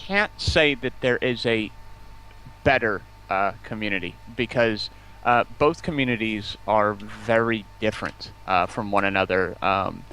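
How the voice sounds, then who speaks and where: Stream guest: man with a lowish voice, stop-start phrasing, faint noise behind. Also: unhurried, sharp tone, low-quality recording. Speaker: guest, community manager. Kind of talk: casual livestream interview.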